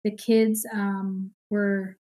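A woman's voice: medium pitch, level tone, drawn-out words, dark tone. Upbeat middle-aged woman chatting as guest. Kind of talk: casual interview chat.

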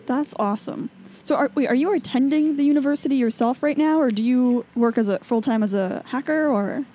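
Mellow-toned, upbeat female podcast host. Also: high-pitched. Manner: upbeat, quick to laugh and encourage. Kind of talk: casual interview chat.

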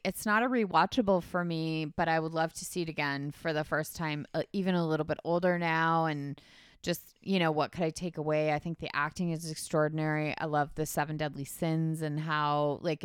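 A woman's voice: low-pitched, clear, resonant tone, no echo, studio quality. A young woman co-hosting a podcast, chatting casually about movies, with lots of fillers and frequent laughs. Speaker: podcast co-host. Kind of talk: casual chat.

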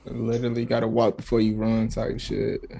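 A man's voice: on the low side, monotone, normal speed, gravelly, warm tone, slight background noise. A man in his mid twenties chatting casually as a podcast co-host, using slang and often addressing others as bro. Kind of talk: casual group chat.

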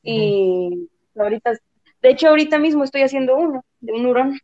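A woman's voice: high-pitched, a little fast, dry recording. A young adult woman, chatting casually as a podcast co-host. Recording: dry, dull lo-fi audio.

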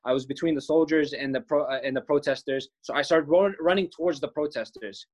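Young man from Palestine, podcast guest, earnest storyteller, slightly high voice. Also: a little fast. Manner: earnest, restarts words often. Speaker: filmmaker guest.

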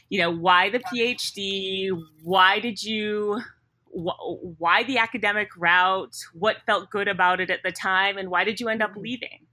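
A woman's voice: mid-range voice, pushed and bright. A woman hosting an interview, asking questions in a friendly and easygoing way with some fillers. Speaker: podcast host and interviewer.

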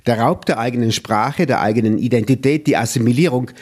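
A man reading scripted documentary narration in a measured, solemn way. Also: mid-range voice, a little mellow.

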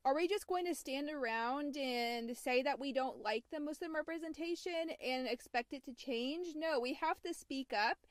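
A woman's voice: high-pitched, no echo. A woman hosting a podcast, reading a scripted, earnest introduction. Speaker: podcast host.

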